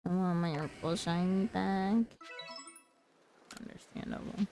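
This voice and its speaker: A woman's voice: fairly low pitch, steady tone, a little slow, mellow, faint music behind. A woman giving casual livestream commentary on a video game, muttering to herself as she plays.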